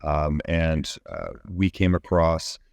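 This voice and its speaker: A man with an extremely low voice speaks in a close, dry recording. He answers interview questions as an executive, explaining engineering in measured, technical language that often halts mid-phrase. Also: flat delivery, darkish tone, no echo.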